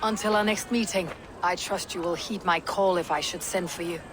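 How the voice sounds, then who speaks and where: A woman playing a calm Viking warrior leader in a video game, with a low husky voice and a measured, stately manner. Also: noisy background, close to an everyday voice. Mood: composed. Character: calm, steady Viking warrior woman leading her clan. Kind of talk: dramatic video game acting.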